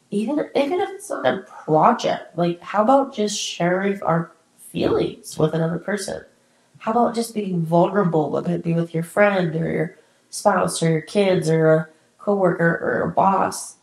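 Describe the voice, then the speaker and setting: A woman's voice: on the low side, a little mellow, roomy sound, low-quality recording. A young adult woman hosting her own podcast, talking alone in a candid, encouraging way with some casual swearing, her nose a little congested. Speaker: podcast host. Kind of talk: solo reflective podcast talk.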